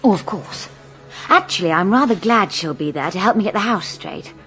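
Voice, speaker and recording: An actress playing a chatty, bossy but good-natured middle-class English woman in a radio drama, with an animated manner. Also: medium pitch, moderate pace, soft background music, lightly acted. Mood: chatty, curious.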